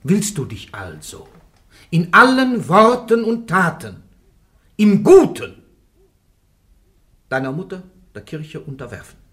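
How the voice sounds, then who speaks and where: Stern, emphatic church interrogator. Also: male voice, high voice, huge pitch swings, bright, forceful tone, heavily acted. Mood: insistent. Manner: emphatic, didactic, deliberate phrasing. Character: stern, insistent church interrogator, learned male cleric. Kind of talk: German radio play acting.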